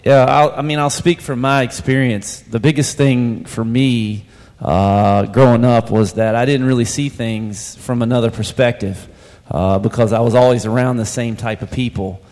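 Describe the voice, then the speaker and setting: Plainspoken Virginia coach in a church hall. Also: male voice, mid-range voice, steady tone, normal speed, darkish tone. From Shenandoah Valley, Virginia. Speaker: basketball coach, panelist. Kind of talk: personal testimony. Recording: hall reverb.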